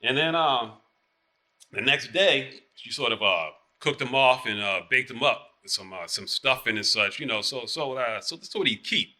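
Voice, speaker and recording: A man's voice: medium pitch, expressive, moderate pace, bright, forceful tone. A man playing a boastful, evasive small-town marshal with a Southern US accent, telling rambling tales in theatrical dialect. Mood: sheepish, evasive.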